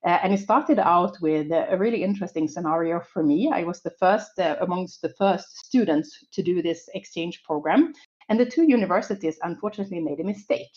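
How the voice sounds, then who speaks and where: A woman's voice: fairly low pitch, darkish tone, dull audio. A woman in her forties from Sweden, speaking English with a Swedish accent, giving an upbeat, optimistic conference talk full of small fillers.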